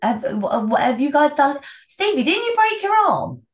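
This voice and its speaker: Young woman, croaky husky voice, podcast co-host, chatty. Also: high-pitched, sing-song, moderate pace.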